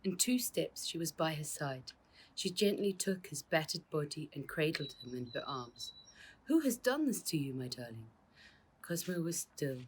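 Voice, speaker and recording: A woman narrating her own children's storybook in a measured, expressive read-aloud manner. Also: low-pitched, a little hiss or hum, poor audio. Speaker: author reading own book.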